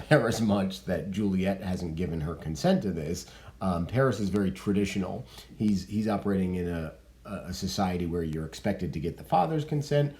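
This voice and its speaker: A man teaching a literature lesson, explaining in a relaxed way with frequent ums and restarts. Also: low-pitched. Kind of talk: spoken literature lesson with commentary.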